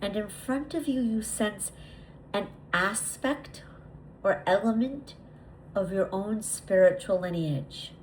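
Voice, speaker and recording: A woman guides a meditation in a medium-pitched voice. She speaks deliberately, repeating phrases and leaving lingering pauses as she leads the listener through a visualization. There is faint ambient noise behind her. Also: a little mellow, low-quality recording.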